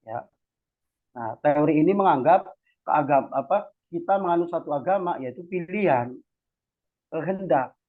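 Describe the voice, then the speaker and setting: A man from Central Java gives an informal lecture, chatty and slangy, often checking that listeners follow. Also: fairly high pitch. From Cilacap, Central Java, Indonesia. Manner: conversational, repeatedly adds checking tags while explaining. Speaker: academic discussion host and speaker. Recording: tinny phone-like online audio.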